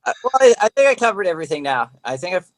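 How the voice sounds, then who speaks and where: A man's voice: high voice, sing-song, racing, poor audio. A man giving casual weather commentary in rambling, loosely linked phrases.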